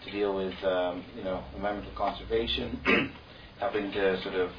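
Casting: easygoing man, lowish voice, far from the mic, roomy and noisy. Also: steady tone, moderate pace. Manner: easygoing, plain-spoken, with fillers. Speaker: returned volunteer. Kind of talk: informal talk to an audience. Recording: echoey room, distant dull mic, noise.

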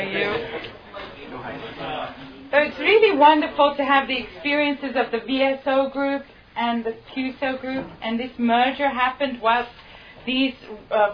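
A woman hosts a small gathering, introducing each speaker in an easy, informal manner with a few fillers. Her voice sits a little high. The recording is somewhat dull and lo-fi. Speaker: event host.

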